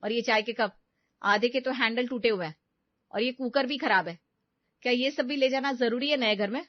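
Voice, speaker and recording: A woman's voice: on the high side, moderate pace, dry recording, lo-fi sound, close to an everyday voice. A woman playing a fed-up wife and mother in a family story, taunting and complaining with sarcasm. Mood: exasperated. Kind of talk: acted Hindi audio story dialogue.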